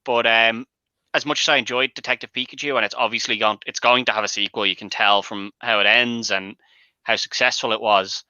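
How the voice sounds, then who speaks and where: A man's voice: mid-range voice, steady tone, fairly quick, sharp tone, no echo, highs cut off. A man debating films casually on a show, making emphatic, argumentative points with some swearing. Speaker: panelist and host of a movie debate show.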